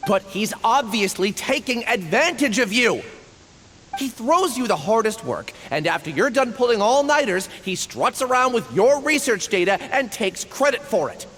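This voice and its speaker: Indignant young student, high voice, lively pitch, long reverb. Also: male voice, moderate pace. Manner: indignant, pleading. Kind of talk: video game dialogue. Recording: long reverb, faint hiss.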